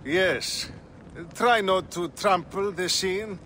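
Game role: wry lawman, weary and out of his depth, man, high bright voice, slightly halting delivery. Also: a little hiss or hum, full character voice. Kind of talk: dramatic game cutscene dialogue.

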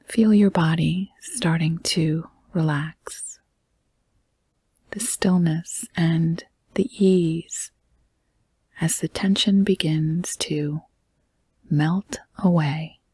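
A woman leading a guided meditation in a soft, breathy, whispery voice, speaking calmly and gently in measured phrases with long pauses. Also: sits low, dry recording. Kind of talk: guided meditation, slow soothing narration.

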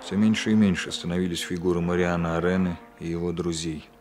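A male actor playing a proud, stubborn police captain in a measured, restrained manner, in a 1960s Soviet radio drama. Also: deep voice, little pitch movement, a little mellow, soft background music, natural voice. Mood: brooding. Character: proud, stubborn, principled police captain narrating his own story.

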